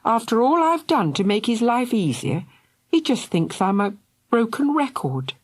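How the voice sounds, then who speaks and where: A woman's voice: fairly high pitch, sing-song, measured pace, pitched above the performer's own voice. A woman voicing a devoted big sister who pleads and sobs. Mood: upset. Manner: pleading, sobbing.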